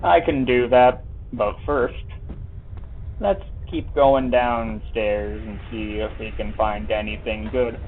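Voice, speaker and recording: A male streamer with a mid-pitched voice chats offhandedly to himself through a game playthrough, casual and playful. The sound is thin and phone-like, with faint noise. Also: fairly even intonation, slow. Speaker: live streamer. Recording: tinny narrow-band stream audio, faint noise.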